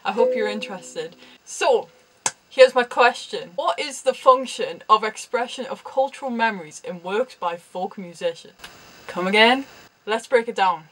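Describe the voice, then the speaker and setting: A woman's voice: on the high side, lively intonation, moderate pace, a bit bright, slight background noise, poor audio. A young woman from Newcastle with a Geordie accent, a university student explaining her research in a chatty vlog with dry humorous asides. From Newcastle, England.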